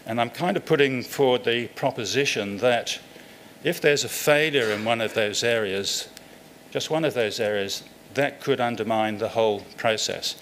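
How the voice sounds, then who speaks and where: A man's voice: medium pitch, normal speed, fairly bright tone, slight background noise. A middle-aged man giving a conference keynote from a podium in a large lecture hall, explaining steadily with frequent ums and uhs. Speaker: keynote speaker.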